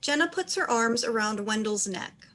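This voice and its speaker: A woman's voice: on the high side, lively intonation, moderate pace, a bit bright. A woman reading stage directions as scripted narration for a play.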